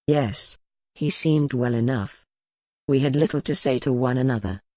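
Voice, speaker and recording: Woman voicing a low, curt, weary medieval duke. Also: normal speed, dark tone, no echo. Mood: curt formal. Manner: terse, formal, guarded. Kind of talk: audiobook character dialogue.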